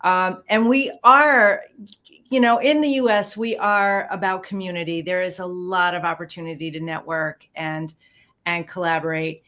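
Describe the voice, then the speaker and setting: A woman's voice: fairly low pitch, steady tone, moderate pace, pushed and bright. A woman hosting an interview, agreeing and adding her own views. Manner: affirming, many short agreements. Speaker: host. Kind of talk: interview conversation.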